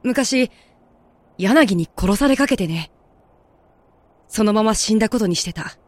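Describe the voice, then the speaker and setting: A woman voicing a gentle, earnest young man with a sad past, speaking politely and softly in an audio drama. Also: medium pitch, animated, a little slow, no echo. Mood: gentle, calm.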